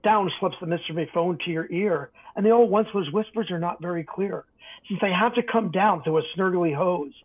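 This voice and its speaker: High, husky-voiced man reading a storybook. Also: brisk, phone-quality sound. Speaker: storybook reader. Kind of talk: expressive scripted bedtime-story reading in rhyme.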